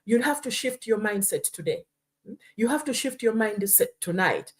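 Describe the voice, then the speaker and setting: A woman's voice: medium pitch, expressive, moderate pace. A woman from Uganda hosting a live stream, talking earnestly and often checking in with "hmm?" and "you know". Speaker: host. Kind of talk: casual live-stream talk.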